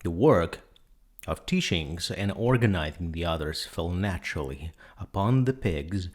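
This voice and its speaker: Low-voiced man narrating a story. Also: a little mellow. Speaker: audiobook narrator. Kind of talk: dramatic scripted narration.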